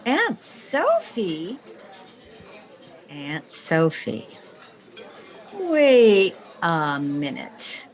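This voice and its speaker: Slow, animated, self-assured lady publisher played by a woman. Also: on the low side, full character voice. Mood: indignant, scheming. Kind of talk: old-time radio comedy acting.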